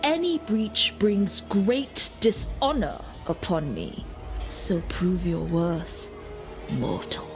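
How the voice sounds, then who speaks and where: An actress playing a proud, stern dragon matriarch in a video game, commanding and haughty. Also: female voice, lowish voice, expressive, slow pace, over music. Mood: imperious.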